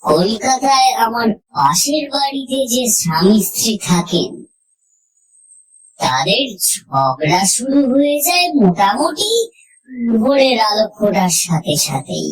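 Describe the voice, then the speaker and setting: A woman narrating a scripted horror story in the first person, reading dramatically and deliberately with suspenseful pauses. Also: on the high side, sing-song, low-quality recording.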